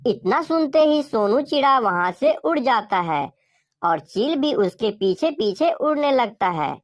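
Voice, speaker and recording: A woman narrating a scripted children's cartoon story as a storyteller. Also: a little high.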